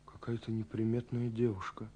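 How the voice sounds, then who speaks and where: An actor playing an earnest young romantic in a 1970s Soviet radio play, confiding haltingly with dramatic pauses. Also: male voice, lowish voice, monotone, mellow, slight background noise, lightly acted. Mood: agitated, dreamy. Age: young adult. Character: earnest young romantic man searching for a mysterious girl. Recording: 1970s radio recording with faint music. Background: faint music.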